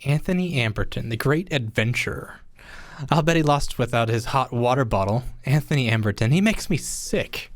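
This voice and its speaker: A man's voice: medium pitch, lively intonation, normal speed, no echo, lightly acted. A man acting in an old-fashioned radio play as a scornful, mocking skeptic, sardonic and dismissive.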